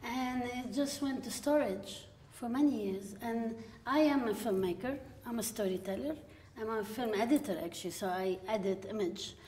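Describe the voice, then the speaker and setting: Animated woman storyteller in an echoey room. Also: fairly high pitch, normal speed. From Lebanon. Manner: lively storytelling with frequent laughs and quoted asides. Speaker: panel guest, filmmaker. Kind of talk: live panel conversation. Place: gallery room with seated audience. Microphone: stand mic at a distance. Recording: echoey room from a stand mic.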